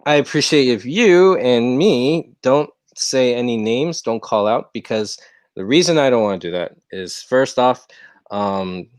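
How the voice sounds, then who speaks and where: A man's voice: medium pitch, lively intonation, unhurried, dry recording. A young American man hosting a casual livestream, rambling along in an easygoing, slangy way. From United States. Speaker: livestream host. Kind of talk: casual chat. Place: rented room at home.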